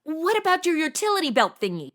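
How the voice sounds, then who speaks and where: A woman's voice: very high voice, very animated, quick pace, fairly bright tone, exaggerated voice. A woman playing a flippant, exasperated young sidekick who talks in modern slang in a comedy audio drama. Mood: exasperated, sassy.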